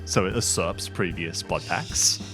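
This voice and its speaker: Casting: low-voiced man as game master, casual halting improvised narration, music playing underneath. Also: sharp tone. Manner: halting, improvising item descriptions aloud. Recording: music behind the voice.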